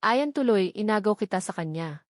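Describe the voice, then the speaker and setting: A woman's voice: mid-range voice, lively intonation, normal speed, dry recording, natural voice. An ardent young man from a romance audiobook, devoted to his secret lover voiced by a woman narrator. The accent is Filipino and the sound is dry and close. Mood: reassuring.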